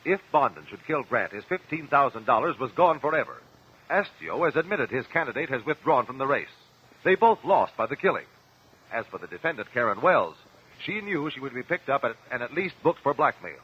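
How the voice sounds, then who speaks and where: Pushy american defense lawyer, old radio drama, swingy pitch, bit husky, dull old broadcast sound. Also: male voice, sing-song, fairly quick. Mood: arguing. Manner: articulate, pressing, courtroom formality. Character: sharp, persistent male defense lawyer in a courtroom.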